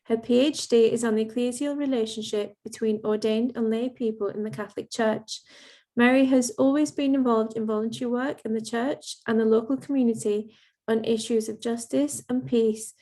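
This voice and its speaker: English woman hosting, measured and formal. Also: on the high side, steady tone, dark tone. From England. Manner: measured, reads prepared text with occasional ums. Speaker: event host. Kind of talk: formal opening remarks.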